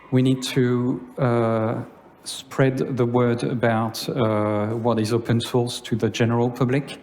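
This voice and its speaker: A man from France with a French accent giving a conference talk in English, pausing often with filler sounds. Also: medium pitch, a little mellow, slight background noise. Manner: hesitant, many filler sounds. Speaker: conference speaker. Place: lecture hall. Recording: long reverb of a hall.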